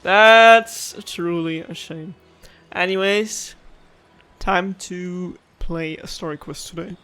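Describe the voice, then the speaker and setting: A woman's voice: lowish voice, slow, a bit bright. A woman gaming streamer giving casual let's-play commentary. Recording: game music playing behind.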